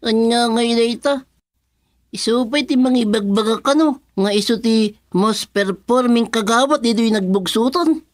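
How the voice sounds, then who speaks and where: A woman's voice: a little high, normal speed, no room sound, lightly acted. A woman in an Ilocano radio comedy drama playing a teasing, gossipy woman at a village office.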